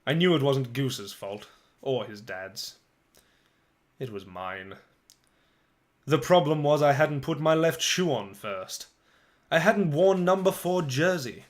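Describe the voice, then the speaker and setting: A man narrating a children's novel as a dramatic reading, with expressive, theatrical delivery and dramatic pauses. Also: a little high.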